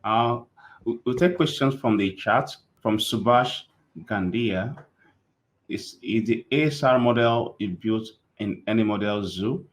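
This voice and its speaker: Male session moderator, low voice, reads audience questions. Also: measured pace. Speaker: session host.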